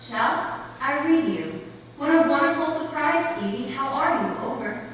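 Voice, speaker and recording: A woman's voice: high voice, lively intonation, unhurried, distant mic. A woman playing a caring missionary aunt from a remote village in a readers theater piece. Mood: friendly, attentive. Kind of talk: theatrical readers theater, narration and radio dialogue. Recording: echoey hall from afar, faint noise.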